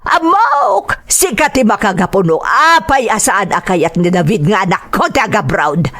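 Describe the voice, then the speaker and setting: A woman's voice: medium pitch, very animated, normal speed, fairly bright tone, soft background music. A woman acting a domineering, nagging mother in a radio drama, scolding emphatically with an Ilocano Filipino accent. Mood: accusing.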